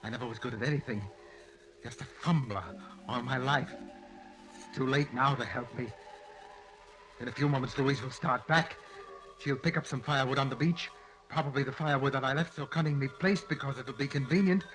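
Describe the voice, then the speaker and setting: An actor playing a desperate, weakening wounded husband, halting and melodramatic, in an old-time radio drama. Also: male voice, mid-range voice, music in the background, close to an everyday voice. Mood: weak, bitter. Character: desperate wounded husband, bitter lifelong fumbler, slowly weakening. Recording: noisy vintage radio recording.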